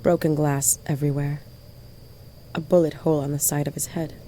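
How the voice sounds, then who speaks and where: A woman's voice: deep voice, little pitch movement, fairly quick, warm tone, a little hiss or hum, close to an everyday voice, low-quality recording. An actress playing a shaken woman alone on a night drive, narrating her visions in a wistful, theatrical audio drama style. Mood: stunned.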